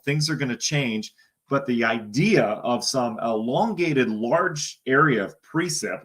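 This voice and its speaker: A man with a hoarse, worn-out voice talks through a live weather forecast. His delivery is loose and chatty, full of asides as he thinks aloud at a mid-range pitch. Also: a bit bright. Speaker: TV meteorologist. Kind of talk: live-streamed weather briefing.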